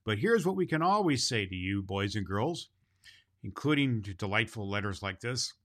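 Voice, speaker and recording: A man's voice: lowish voice, lively intonation, normal speed, a bit bright. A man playing a jolly, kindly Santa Claus who hosts a children's storytelling show in a cheery, folksy manner. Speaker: host and storyteller. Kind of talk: children's storytelling show, addressing boys and girls.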